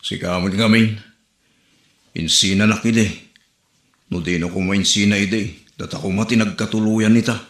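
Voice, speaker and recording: A man's voice: fairly low pitch, steady tone, moderate pace, long pauses, echoey room. A man with an Ilocano accent acting an exasperated single son in a comedy skit.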